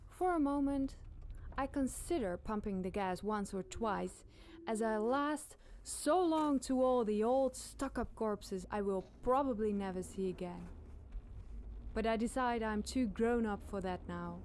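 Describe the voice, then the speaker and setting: A woman's voice: a little high, a little slow, darkish tone, slight background noise. A woman reading a scripted scary campfire story as a podcast storyteller.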